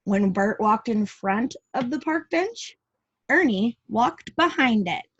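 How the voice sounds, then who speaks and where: High-voiced woman reading to preschoolers, muffled sound. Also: lively intonation, slow pace, slightly rough. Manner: addresses young listeners with questions and asides. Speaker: preschool teacher reading aloud. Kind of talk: read-aloud storytelling for young children with chatty asides. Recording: dull lo-fi home recording.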